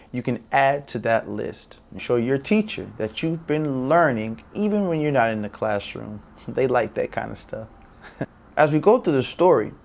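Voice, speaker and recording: A man's voice: a little high, a little mellow. A man in his thirties presenting a story to young children with upbeat, smiling expression, on a lapel mic. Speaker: children's read-aloud host.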